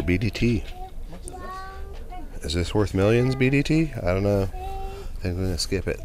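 A man's voice: sits low, slight background noise. A man casually vlogging as he browses a flea market, chatting upbeat to his viewers. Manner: chatty, upbeat, talks to viewers as "you guys". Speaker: reseller vlogger. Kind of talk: casual walkaround vlog.

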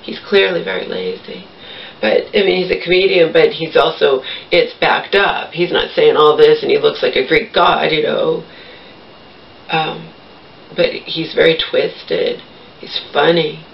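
A woman's voice: low voice, steady tone, a little slow, gravelly, a little hiss or hum. A woman in her thirties chatting casually to camera in a small bedroom, meandering and blunt, swearing freely. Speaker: vlogger. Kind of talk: rambling casual chat to camera. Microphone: camera across the room. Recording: distant, muffled camera audio.

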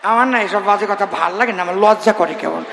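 A man's voice: sits high, fairly quick, creaky. An older man with a rural Bengali accent telling comic folk stories live on stage, earthy and teasing, addressing his audience directly. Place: live performance before a gathering. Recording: echoey live stage sound, faint noise.

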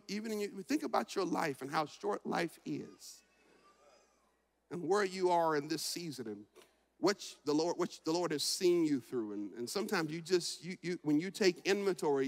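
A man in his forties preaching a sermon as pastor, shifting from loud shouting to quiet reflection and repeating phrases for emphasis. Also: fairly high pitch, vocal fry. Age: 40s. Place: large church sanctuary. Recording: long reverb of a large church.

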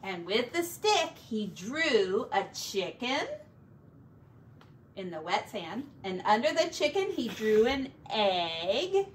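A middle-aged woman, a teacher reading a picture book to children in an expressive, deliberate way, recorded indoors from a few feet away. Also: a little high. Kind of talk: animated children's storybook read-aloud. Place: small home room, indoors. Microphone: camera a few feet away. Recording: distant camera mic, faint background noise.

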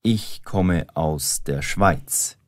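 A man speaking slowly and distinctly in a beginner language lesson, spelling out letters with example names. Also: sits low, no echo, clean studio sound. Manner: spells out letters slowly with a lively, sing-song melody. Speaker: language teacher. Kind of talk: slow, clearly spoken language lesson.

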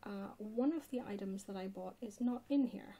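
Casting: female ASMR haul host, soft-spoken and half-whispering, mellow and creaky, casual delivery. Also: medium pitch, normal speed. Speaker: video host. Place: indoors at a table.

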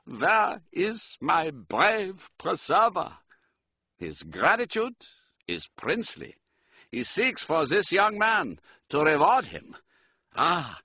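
A storybook German count, stiff and florid in manner. The voice is bright and forceful, swinging widely in pitch, with a slightly rough edge. The recording is a little muffled. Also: male voice, exaggerated voice. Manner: pompous, florid, ceremonious. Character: stiff, formal, effusive German count, royal equerry.

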